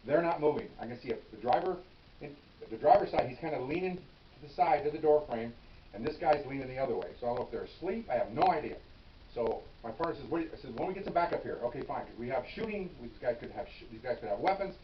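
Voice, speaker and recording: Older ex-cop telling war stories quick, loud when he acts it out, roomy far-off mic with hiss. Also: medium pitch. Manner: animated, acts out his own yelling. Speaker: retired police officer telling stories. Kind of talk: casual storytelling to camera. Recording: roomy, distant, dull with hiss.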